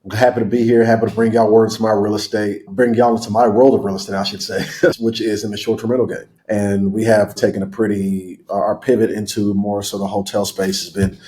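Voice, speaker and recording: A man in his thirties who was born in Nigeria and raised in Houston, talking as a podcast guest. He tells his story in a relaxed, rambling way, correcting himself often and filling pauses with filler words. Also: on the low side. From Nigeria, raised in Houston, Texas. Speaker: guest, real estate investor.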